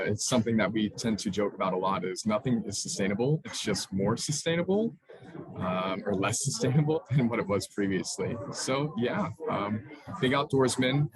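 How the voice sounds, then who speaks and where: Young man, fairly low pitch, informal meeting introduction, audible people in the background. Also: poor audio. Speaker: student and organization staff member introducing himself. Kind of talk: casual self-introduction. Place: online meeting.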